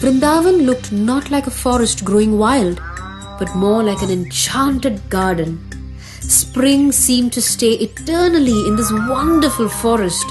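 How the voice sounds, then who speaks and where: High-pitched woman storyteller over music. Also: lively intonation, unhurried, a little mellow. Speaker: storyteller, narrator. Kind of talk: scripted children's story narration.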